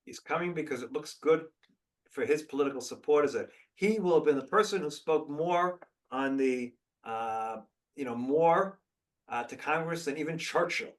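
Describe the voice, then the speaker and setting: A man hosts a live question session over a video call, speaking bluntly and trading opinions with frequent restarts. His voice sits a little high for a man. The call audio makes him sound somewhat distant from the microphone. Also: moderate pace. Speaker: host of a video call briefing.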